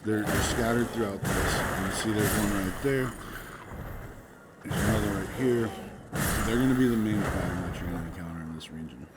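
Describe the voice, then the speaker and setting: A man who is sick, giving casual, rambling commentary over his gameplay as a channel host. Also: lowish voice. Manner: laid-back, rambling, many ums. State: sick, voice a bit off. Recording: loud background noise.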